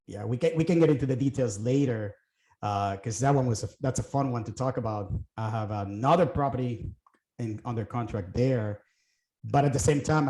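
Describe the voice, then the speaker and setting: A man's voice: medium pitch, dark tone, roomy sound, a little hiss or hum, rough recording. A middle-aged man from Puerto Rico, a guest talking casually about his work, thinking aloud with fillers and restarts. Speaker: guest, real estate investor. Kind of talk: casual interview chat.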